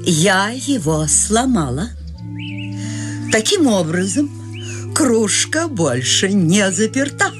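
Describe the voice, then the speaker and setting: A whimsical old countess in a radio drama, her voice rising and falling across a wide, lively range. She coaxes and teases with theatrical flair, and soft music plays behind her. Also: medium pitch, takes it slowly, long pauses. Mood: playful. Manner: playful, coaxing, sweeping swings of tone. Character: eccentric, merry old countess, free-spirited and chatty. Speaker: actress in a radio play.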